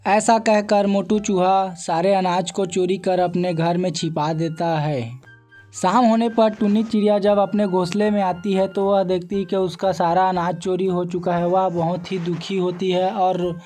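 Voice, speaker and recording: A man's voice: high voice, level tone, measured pace, dark tone, faint music behind. A man narrating a scripted children's moral story as a storyteller.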